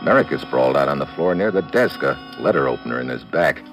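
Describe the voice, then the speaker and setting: A man's voice: rumbling low, animated, quick pace, over music. An actor playing a tough detective hero, narrating his adventure tersely in an old radio drama style. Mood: grim, matter-of-fact. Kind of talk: 1940s-50s radio drama acting, first-person narration.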